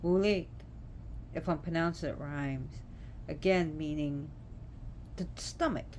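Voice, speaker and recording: A woman at home slowly talking an online audience through old lore, feeling for each word, with noise behind her. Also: low voice, a little mellow. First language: not Gaelic. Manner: halting, searching for words. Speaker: presenter explaining names of a Celtic deity. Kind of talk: informal unscripted lecture. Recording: clear background noise.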